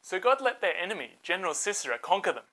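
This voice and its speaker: A woman narrating a scripted children's Bible story in a dramatic, expressive way. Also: on the low side, fast, rough recording.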